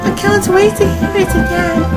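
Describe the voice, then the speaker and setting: Man putting on a touchy little girl steam engine, very high and squeaky, for a storybook. Loud music behind. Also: flat delivery, normal speed. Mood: indignant. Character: young female steam engine, proud and touchy. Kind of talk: storybook reading. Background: loud background music.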